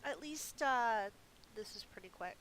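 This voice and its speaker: A woman with a fairly high voice narrates her gameplay in a casual, chatty way. She sounds playful and often thinks aloud as a video host. A faint hiss sits behind her voice. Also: lively intonation, measured pace, a bit bright, poor audio. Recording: hissy recording with background noise.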